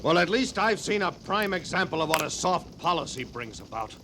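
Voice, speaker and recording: An actor playing a rigid, haughty army major in an old-time radio western, formal, stiff and indignant. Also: male voice, on the high side, sing-song, a little fast. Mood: haughty, disapproving. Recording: slightly noisy vintage radio recording.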